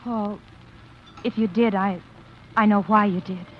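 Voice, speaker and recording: A woman's voice: mid-range voice, fairly even intonation, measured pace, lightly acted. An actress playing a devoted, anxious young woman in a 1940s radio drama, speaking in an earnest, pleading way. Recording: dull old radio broadcast with faint hiss.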